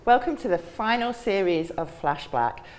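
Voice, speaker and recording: A woman hosting and opening an online chat show, relaxed and a little rambling as she talks. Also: mid-range voice, moderate pace, background noise. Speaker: host, show founder. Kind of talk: casual live-stream chat.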